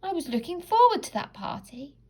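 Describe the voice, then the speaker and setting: A woman's voice: very high-pitched, sing-song, a little fast, mellow, pitched above the performer's own voice. A woman voicing an innocent, easily upset young male duck in a children's storybook, plaintive and childlike. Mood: disappointed. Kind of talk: children's storybook read-aloud.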